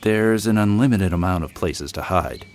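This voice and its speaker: An actor playing a practical, skeptical husband with a matter-of-fact manner in a horror audio drama. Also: male voice, sits low, normal speed, slight background noise, close to an everyday voice.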